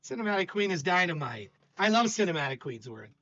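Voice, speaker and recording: An older man with a loud voice hosting a livestream, chatting casually and stammering as he talks to chat. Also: high voice, very animated, quick pace, dull audio. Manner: rambling, stammering, warm toward chat. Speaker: stream host.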